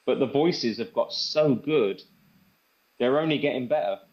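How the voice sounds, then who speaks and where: Man pitching software, dull lo-fi sound. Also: measured pace. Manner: conversational, repeats phrases for emphasis, acts out sample phone calls. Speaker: presenter demonstrating software to a group. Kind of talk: informal product demo and sales pitch. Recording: dull, band-limited sound.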